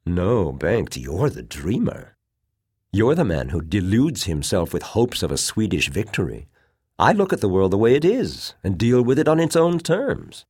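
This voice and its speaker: A man's voice: lowish voice, very animated, normal speed, darkish tone, slightly rough, no room sound, clean studio sound, voice pushed up. A man voicing a fervent, bitter, scornful aristocratic officer in an audiobook, with a ringing, heated delivery. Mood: insistent. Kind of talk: dramatized audiobook dialogue.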